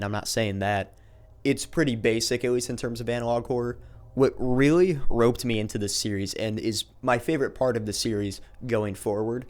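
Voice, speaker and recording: A man narrating a video essay in a conversational explanatory way, moving between scripted recap and casual commentary. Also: mid-range voice.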